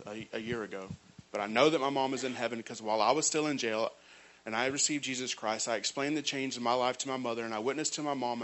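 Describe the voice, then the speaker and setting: A man's voice: mid-range voice, fairly bright tone, slight background noise, rough recording. A man giving an earnest personal testimony and reading scripture, stumbling on restarts now and then. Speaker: ministry program resident giving testimony.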